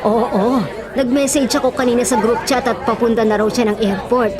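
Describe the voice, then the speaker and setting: A woman playing a chatty, devout middle-aged mother and wife in a Filipino radio drama, expressive and fussing. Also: fairly high pitch, moderate pace, natural voice. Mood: excited. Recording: busy crowd sound and music behind. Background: music and crowd bustle.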